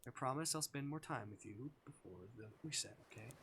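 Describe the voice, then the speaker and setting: A man's voice: medium pitch, lively intonation, fairly quick, a bit bright, a little hiss or hum, lightly acted. Man voicing a lonely, earnest young game character. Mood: regretful, gentle. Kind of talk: visual novel dialogue read aloud.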